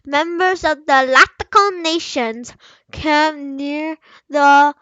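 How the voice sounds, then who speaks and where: A woman's voice: high voice, slow pace, pushed and bright, lo-fi sound, slight background noise. A young girl reading a children's history book aloud, stumbling over words and sometimes slipping into a playful sing-song. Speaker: young reader practising aloud.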